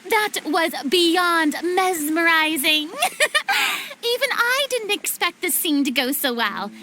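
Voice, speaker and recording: A woman's voice: very high-pitched, sing-song, takes it slowly, loud music behind the voice, full character voice. A woman playing a theatrical, exuberant young female film director in a video game, dramatic and effusive in manner. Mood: delighted. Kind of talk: video game character acting.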